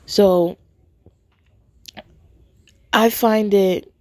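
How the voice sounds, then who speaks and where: Female podcast host, cold-hoarsened and unhurried, dark tone, conversational and halting, recorded close and dry. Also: mid-range voice, fairly even intonation, no room sound. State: hoarse from a cold, voice nearly gone. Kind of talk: casual spoken commentary to listeners. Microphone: phone held close to mouth.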